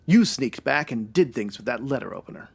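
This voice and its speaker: A man's voice: mid-range voice, big pitch swings, fairly quick, creaky, husky, full character voice. A man playing a wry, self-assured ex-cop private detective in a 1940s radio noir style, full of wisecracking slang. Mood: accusing, wry. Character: male ex-cop private detective, wry, hard-boiled, sure of himself.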